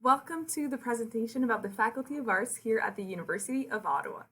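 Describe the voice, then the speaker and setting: A woman's voice: fairly high pitch, expressive, fast, fairly bright tone, slightly rough. A woman in her twenties with a French Canadian accent, giving a friendly, conversational presentation for a virtual open house in her second language. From Quebec City, Canada. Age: 20s. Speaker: university liaison officer, presenter.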